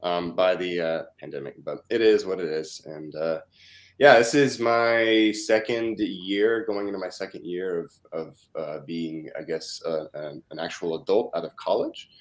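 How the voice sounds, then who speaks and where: A man in his twenties from Russia, answering interview questions in a hesitant, halting way with many fillers. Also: sits low, steady tone. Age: 20s. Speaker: interview guest.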